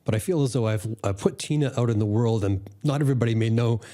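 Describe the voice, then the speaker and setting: A man interviewing a guest conversationally, guiding the talk with orderly, measured questions. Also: medium pitch, dark tone.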